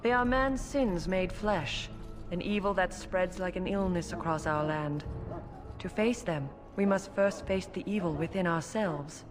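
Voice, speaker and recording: A woman playing a solemn, pious priestess in a fantasy game, preaching gravely like an orator. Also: on the low side, unhurried, music in the background, close to an everyday voice. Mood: solemn exhortation.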